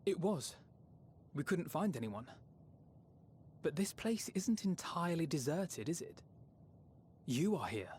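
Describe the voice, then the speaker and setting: An actor playing an earnest, compassionate young male hero in a dramatic game scene, speaking with deliberate empathy. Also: on the high side, big pitch swings, darkish tone, a little hiss or hum. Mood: grave, empathetic. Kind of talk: dramatic video game acting. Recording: music behind the voice.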